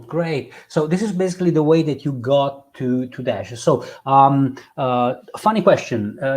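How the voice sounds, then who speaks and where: A man's voice: mid-range voice, warm tone. A man hosting a casual interview, chatty and self-deprecating, often stumbling over his words. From Italy. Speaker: host.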